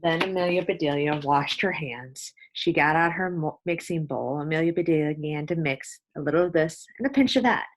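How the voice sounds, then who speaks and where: A woman's voice: low-pitched, brisk, hardly any pauses. A woman teacher reading a children's storybook aloud, with explanatory asides to young listeners.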